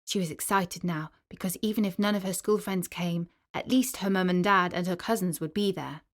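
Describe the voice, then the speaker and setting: A woman storyteller narrating a children's story in an expressive, clearly articulated storybook manner. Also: lowish voice, moderate pace.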